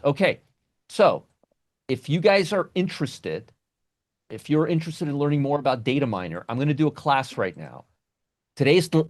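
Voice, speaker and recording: A man's voice: medium pitch, no room sound, clean studio sound. A man hosting a live trading webinar, teaching options and often checking in with "you understand?" as he repeats his points. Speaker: options trading educator, show host.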